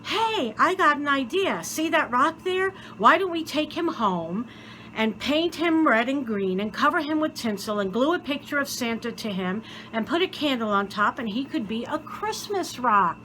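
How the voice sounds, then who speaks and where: A woman voicing an eager, inventive little boy in a storybook, earnest and excited. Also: high voice, normal speed, a little hiss or hum, low-quality recording.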